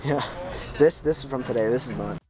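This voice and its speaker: A man's voice: mid-range voice, music in the background, poor audio. A young man chatting casually, picked up outdoors off mic. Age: young adult. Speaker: kayaking student being photographed. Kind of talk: casual chat. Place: outdoors by a river. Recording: loud outdoor river noise, off mic. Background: loud rushing and outdoor noise.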